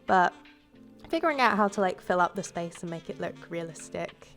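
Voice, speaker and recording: Woman narrating her build video, a bit congested, with a gravelly edge and an easygoing, rambling delivery. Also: on the low side, lively intonation, normal speed, fairly bright tone, soft background music. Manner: casual and rambling, with fillers and self-corrections. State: stuffy from a cold. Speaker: game builder and YouTuber. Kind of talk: relaxed voice-over commentary on a building video.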